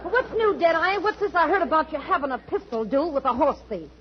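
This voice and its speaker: An actress playing a sassy, sharp-tongued western saloon gal, teasing and wisecracking in a 1940s radio comedy. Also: female voice, sits high, expressive, brisk, some chatter behind, a little hiss or hum. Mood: nagging. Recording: muffled 1940s radio broadcast recording.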